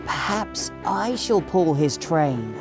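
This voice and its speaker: Storybook engine character, played by a man: high, swooping, cheeky, impatient and full of himself, light music behind. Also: slow, creaky, heavily acted. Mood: determined. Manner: excitable, self-important, whistling peeps. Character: cheeky, impatient, boastful young male tank engine.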